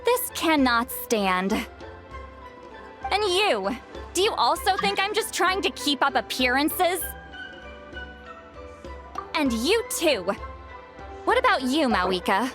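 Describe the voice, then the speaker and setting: A woman's voice: high-pitched, very animated, takes it slowly, pauses often, heavily acted. A woman voicing a proud, touchy, secretly caring granny figure in a video game, grumbling theatrically. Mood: indignant. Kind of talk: animated video game voice acting. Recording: soft game music behind.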